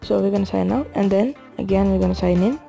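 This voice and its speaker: A young woman giving a casual phone tutorial in a hushed, low-key late-night voice. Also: fast talker, gravelly, faint music behind. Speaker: gaming channel host.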